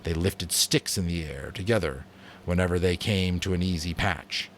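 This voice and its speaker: A man's voice: low voice, moderate pace, a little hiss or hum. A man giving a dramatic audiobook reading of a novel, sometimes stumbling and rereading a line. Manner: stumbles and rereads lines, stops to redo a take. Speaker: amateur voice actor reading a novel.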